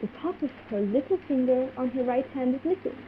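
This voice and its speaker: Woman as secretive female spy for radio play: high-ish, slow, dramatic, halting; tinny noisy call line. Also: expressive. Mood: grave, secretive. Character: frightened, secretive woman spy, later gravely wounded. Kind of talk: old-time radio play acting. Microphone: video call. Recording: muffled, noisy video call audio.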